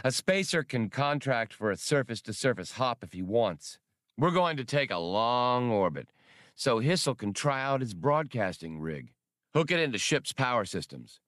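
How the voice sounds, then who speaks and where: A cocky star pilot in a space adventure audiobook, acted by a male reader. He talks with dry, casual bite and lively swings of pitch, needling whoever he faces. The sound is close and dry. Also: moderate pace, dry recording. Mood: mock casual.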